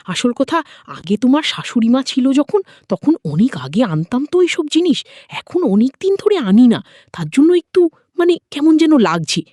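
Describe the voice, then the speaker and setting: Woman voicing a coaxing older father-in-law, very animated. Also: sits high, moderate pace, dry recording, clean studio sound, pitched above the performer's own voice. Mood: flirtatious.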